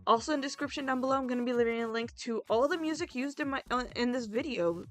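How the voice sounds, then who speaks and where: A woman with a fairly high voice talks casually to her viewers as a video creator. Her delivery is informal and chatty, like an end-of-video update. Soft music plays behind her. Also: fast. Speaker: roleplay series host and video creator. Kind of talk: casual YouTube outro announcements, chatting to viewers. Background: background music.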